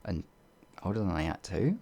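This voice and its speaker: A man voicing a shy, gentle werewolf in an ASMR audio roleplay, hesitant and halting, with a breathy, gravelly voice that often drops toward a whisper. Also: low-pitched, big pitch swings, exaggerated voice. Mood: sad, subdued. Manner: hesitant, timid, halting, with sighs and trailing phrases. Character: shy, gentle, bumbling pacifist male werewolf, older than he looks.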